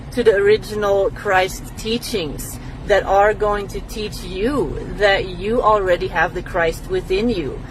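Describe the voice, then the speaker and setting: Woman talking over faint background noise. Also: mid-range voice. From Belgium. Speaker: spiritual teacher answering a follower's question. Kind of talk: casual talk to camera.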